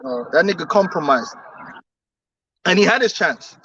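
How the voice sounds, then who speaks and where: Man, street preacher, outdoors, emphatic and repetitive, forceful sharp tone. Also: high-pitched, lively intonation, normal speed, slightly rough, no room sound, dull audio. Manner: emphatic, repetitive, laughs between points.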